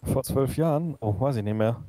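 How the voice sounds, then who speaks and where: A man's voice: medium pitch, normal speed, dark tone, dry recording. A man chatting casually as a guest on a gaming stream's voice chat. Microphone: voice chat microphone. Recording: voice chat, faint noise.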